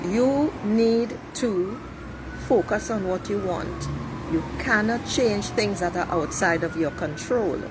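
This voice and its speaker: A woman's voice: medium pitch, slow. A middle-aged woman from Trinidad with a Trinidadian accent, giving a blunt, preachy monologue to her viewers and swearing freely. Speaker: life coach livestreaming. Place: outdoors near traffic. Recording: street traffic noise behind. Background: passing cars, a siren.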